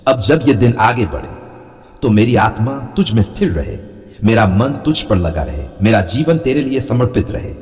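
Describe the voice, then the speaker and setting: A man's voice: lowish voice, big-room sound. A man leading an earnest, pleading devotional prayer in a rhythmic, repetitive cadence. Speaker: prayer leader.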